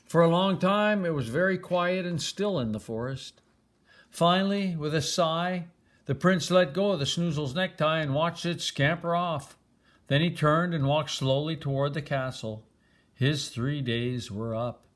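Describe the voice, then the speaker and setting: A man's voice: a little high, measured pace. An older man reading a children's storybook aloud as a grandfather would, with expression.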